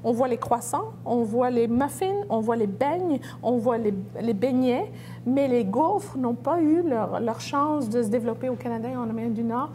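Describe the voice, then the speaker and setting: A woman's voice: on the high side, animated, moderate pace, mellow, slight background noise. A middle-aged woman from Belgium giving conversational answers as an entrepreneur in an interview. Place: restaurant.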